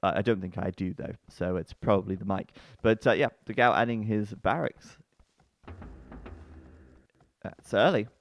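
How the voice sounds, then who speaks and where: A man casting a strategy game live, his voice sitting fairly low and sounding bassy. He talks in a loose, chatty stream with plenty of fillers, then jumps up into loud excited cries when a fight goes wrong. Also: moderate pace, pauses often, no room sound. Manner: chatty, breaks into excited outbursts. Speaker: esports commentator.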